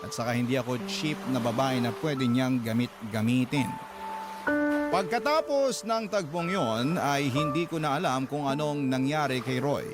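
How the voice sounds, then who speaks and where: A man's voice: mid-range voice, moderate pace, over loud music, lightly acted. A man voicing a wronged young woman's letter as a dramatic radio reading, with a Filipino accent. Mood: earnest, aggrieved.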